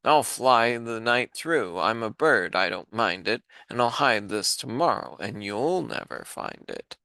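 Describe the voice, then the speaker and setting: A man's voice: medium pitch, lively intonation, unhurried, creaky, sharp tone, dry recording, full character voice. A man voicing a strong male eagle who sneers and taunts. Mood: taunting.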